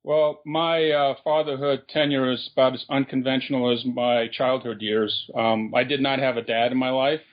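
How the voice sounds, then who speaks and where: A man from Brazil telling his story as a podcast guest, in a halting way full of ums and uhs. His pitch is middle for a man, and the sound is dull and somewhat lo-fi. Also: fairly even intonation. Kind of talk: casual interview storytelling.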